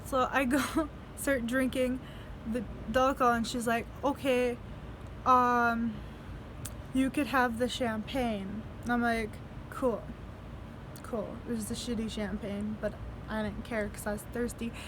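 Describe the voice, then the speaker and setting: Young woman vlogger, fairly high voice, slow and rambling, profane, laughs often; faint vehicle noise behind. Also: little pitch movement. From United States. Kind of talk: casual video diary storytelling.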